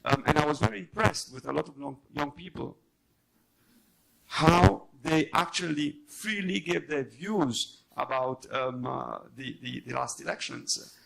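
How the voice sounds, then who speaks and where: Halting man with a creaky voice. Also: fairly high pitch, a bit bright, low-quality recording. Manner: halting, emphatic. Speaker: journalist on a debate panel. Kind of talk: unscripted panel debate remarks.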